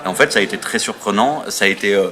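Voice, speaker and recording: Man reflecting casually, lowish voice. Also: rapid-fire, crowd noise. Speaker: theatre artist. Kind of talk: casual interview.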